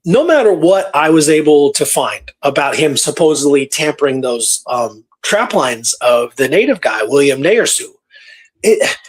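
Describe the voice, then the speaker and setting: Man, fairly high voice, radio host, casual storytelling, emphatic repetition. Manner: emphatic, repeats phrases for effect.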